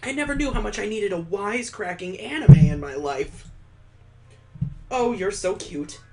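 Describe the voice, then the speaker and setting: A man's voice: sits high, expressive, normal speed, warm tone, pitched above the performer's own voice, rough recording. A man voicing a cheerful, affectionate young woman who likes to tease, read aloud from a visual novel. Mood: teasing delight.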